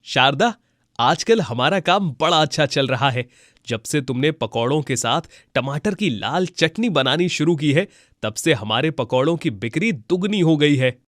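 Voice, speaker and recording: A man acts as an expressive village husband who sells snacks, in a cartoon moral tale. His voice is slightly high and chatty, with a dry sound. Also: a little slow, no echo. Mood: pleased. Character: friendly village fritter seller, husband, easily dismayed.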